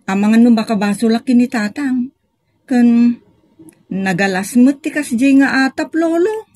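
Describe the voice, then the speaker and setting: An actress with a northern Philippine accent playing an inquisitive, protective daughter in an Ilocano comedy drama. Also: female voice, on the high side, unhurried, darkish tone, no room sound, studio quality. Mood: questioning, defensive. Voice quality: husky. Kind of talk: Ilocano comedy radio-style drama acting.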